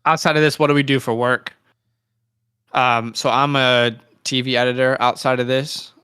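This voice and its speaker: A man's voice: medium pitch, little pitch movement, normal speed, bright, forceful tone, clear, resonant tone, no echo, studio quality. A man in his late twenties co-hosting a casual sports livestream, talking informally with plenty of slang. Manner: informal slang, chummy address. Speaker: co-host of a fantasy football show.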